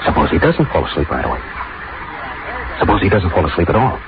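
Very deep, anxious noir plotter. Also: male voice, sing-song. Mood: nervous. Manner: tense, halting, brooding. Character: anxious, lovestruck man plotting murder, easily swayed. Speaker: radio actor and narrator. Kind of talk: 1940s radio thriller acting, first-person narration. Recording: noisy, muffled 1940s radio recording.

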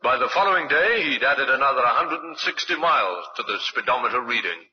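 An actor playing a factual police inspector laying out evidence in an old-time radio drama. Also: male voice, mid-range voice, animated, a little fast, lightly acted. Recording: muffled old radio recording.

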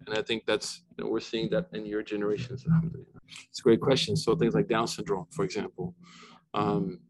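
A man's voice: fairly low pitch, little pitch movement, a little fast, a little hiss or hum, low-quality recording. Man, middle-aged, informal lecture, conversational, frequent restarts. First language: not Arabic. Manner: conversational, many restarts and asides. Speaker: Islamic scholar lecturing.

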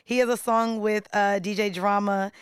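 A woman with a raspy voice co-hosting a podcast, chatting casually about new songs in a playful, hype, slangy way with frequent laughs. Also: mid-range voice, fairly even intonation, moderate pace, no room sound, studio quality. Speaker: podcast co-host.

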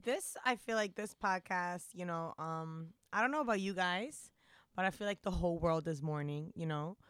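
Podcast co-host, New York woman in her thirties, chatty storyteller, crisp and forceful voice. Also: lowish voice, normal speed, dry recording, clean studio sound. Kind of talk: casual podcast chat.

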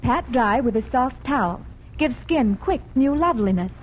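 A woman with a slightly high voice reads a vintage radio advertisement. Her delivery is scripted and instructional, and the old recording has faint noise. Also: expressive, moderate pace, low-quality recording. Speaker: commercial announcer.

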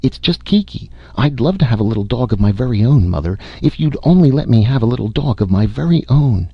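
A man's voice: sits low, moderate pace, husky, dry recording. A man voicing a sensitive, sick little boy who pleads in a faint, breaking voice in an audiobook. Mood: indignant pleading. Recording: muffled audio.

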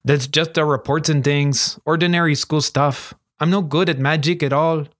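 A man's voice: a little high, fairly even intonation, moderate pace, lightly acted. Guy doing a glum little schoolgirl, earnest and unsure of herself, storybook reading. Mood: glum, wary. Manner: sullen, earnest. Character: wary, glum young schoolgirl, sensible but insecure.

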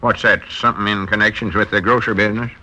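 An actor playing a self-important country storekeeper who puts on airs, drawling with a rural Southern American accent in a 1940s radio comedy. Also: male voice, fairly low pitch, flat delivery, quick pace, sharp tone, slight background noise, rough recording. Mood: haughty. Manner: pompous, drawling, putting on airs. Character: pompous, self-important country storekeeper turned would-be tycoon. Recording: old 1940s radio broadcast.

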